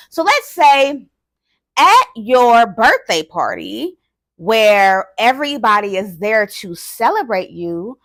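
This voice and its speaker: Expressive woman educator, very dry sound. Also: mid-range voice, no echo. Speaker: host and experienced early-years educator. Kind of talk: educational talk to parents and teachers.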